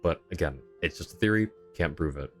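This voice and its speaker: A man's voice: extremely low pitch, fairly quick. A man doing casual live-stream game commentary, rambling and thinking aloud as he chats with viewers. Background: faint game music.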